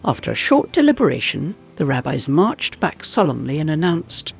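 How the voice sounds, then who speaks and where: A man narrates a folk tale in a fairly high voice. His delivery swings expressively through the scripted story, with music playing behind him. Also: huge pitch swings, moderate pace, gravelly.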